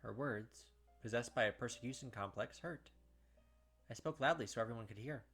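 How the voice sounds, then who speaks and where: A young man narrating a story game for his channel, reading each line and slipping in casual remarks. His voice sits in an ordinary middle range. Soft game music plays underneath. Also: mid-range voice, normal speed, husky. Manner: casual, filler-heavy commentary. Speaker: gaming video host. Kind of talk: reading game text aloud with casual asides.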